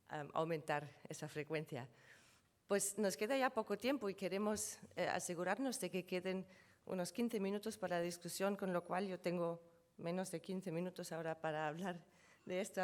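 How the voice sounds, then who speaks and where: A woman with a notably low voice presents at a conference, her first language being German. She explains figures from her slides one by one and often corrects herself mid-sentence. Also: normal speed. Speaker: conference speaker on trademarks.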